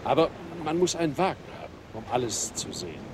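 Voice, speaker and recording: A man's voice: mid-range voice, fast, background noise, lightly acted. A man playing a chatty widower tourist. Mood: friendly. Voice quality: talkative, friendly, lively. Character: chatty middle-aged American widower tourist. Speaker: hotel guest. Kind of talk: 1980s radio play acting.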